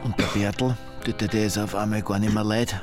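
An actor playing a laid-back village café owner with an Austrian accent in a naturalistic radio drama. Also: male voice, on the low side, flat delivery, moderate pace, over music, lightly acted. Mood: skeptical.

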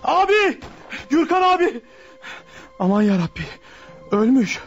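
Very high-pitched desperate outlaw. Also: male voice, sing-song, unhurried, dull audio, faint music behind, heavily acted. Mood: distraught. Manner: barking threats and orders. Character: desperate, threatening wounded male outlaw on the run. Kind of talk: Turkish radio theatre acting.

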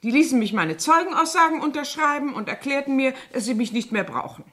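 A woman's voice: high voice, animated, brisk, fairly bright tone. An actress playing a chatty French cleaning woman who sounds naive and guileless while telling gossip dramatically, in a 1970s German radio play. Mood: innocent, chatty.

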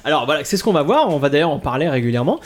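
A man's voice: on the high side, big pitch swings, racing, slight background noise. A man from France hosting a casual podcast chat, hesitant with many fillers and restarts. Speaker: podcast host.

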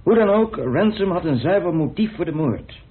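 A man's voice: a little high, animated, moderate pace, a little hiss or hum, natural voice. An actor, arguing his case in a dry, assertive way. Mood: assertive, explaining. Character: self-assured man coolly arguing his theory of a murder. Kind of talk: radio play acting. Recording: muffled phone-like old radio recording.

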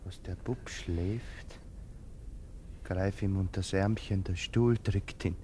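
An actor playing a poor, brooding soldier in a German radio play, humble, haunted and halting in his speech. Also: deep voice, fairly even intonation, mellow, a little hiss or hum. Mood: weary, resigned. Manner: halting, earnest, deferential.

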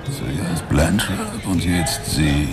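An actor playing a shady, chatty boxing fan who gives tips, gravelly, in a radio drama. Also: male voice, very deep voice, very slow, heavily acted. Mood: sly, confiding. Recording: loud music behind the voice.

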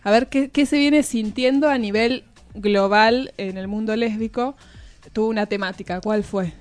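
An Argentine woman hosts a radio show in a casual, chatty style. Her voice is a bit high, with some music playing under it. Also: moderate pace. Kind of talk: casual chat.

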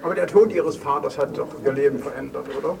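A man's voice: a little high, quick pace, vocal fry, crowd noise. A man playing a blunt, judgmental accuser who presses insistently in an improvised spoken audio drama. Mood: accusing, insistent. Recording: noisy, distant microphone with background ambience.

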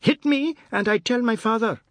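Storybook boy, played by a man: young, cheeky and defiant, pitched high, clean and close. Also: normal speed, fairly bright tone, no room sound. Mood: indignant. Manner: Caribbean creole grammar, cheeky retorts. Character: indignant, defiant young boy, stubborn and quick-tongued.

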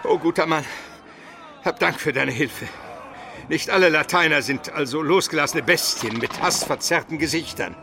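A man's voice: fairly high pitch, normal speed, noisy background, lightly acted. A man playing an educated, pious Byzantine minister on the run, solemn and formal, in a German radio play. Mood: grateful, indignant.

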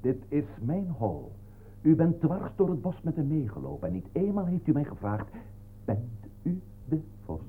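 An actor playing a sly, teasing, predatory fox of a colleague in a radio play, theatrical and insinuating. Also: male voice, fairly high pitch, big pitch swings, moderate pace, breathy edge, faint music behind. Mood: quietly menacing. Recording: tinny, noisy old radio recording.

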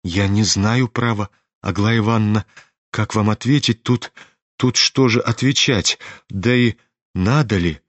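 A man voicing a timid, gentle young nobleman in a storybook reading, speaking softly and hesitantly. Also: on the low side, measured pace, no echo. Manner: soft, hesitant.

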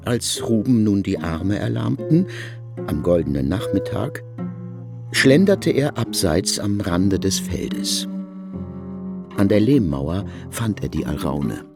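Male narrator, gravelly, measured literary reading. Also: lowish voice, sing-song, music in the background.

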